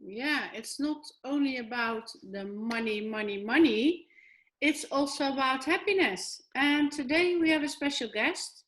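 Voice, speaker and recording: Woman hosting a talk show, pretty high voice, keeps prompting guests. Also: a little slow, a bit bright, rough recording. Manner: friendly, prompting questions. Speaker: show host.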